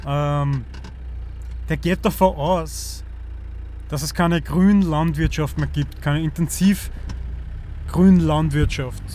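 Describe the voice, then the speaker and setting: An Austrian man in his thirties with a fairly high voice speaks in a loose, earnest monologue. He often stumbles and restarts as he hunts for the right words. Faint street and car noise sits behind him outdoors. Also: fairly even intonation, takes it slowly, pauses often, mellow. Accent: Austrian German. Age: 30s. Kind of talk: casual rambling monologue.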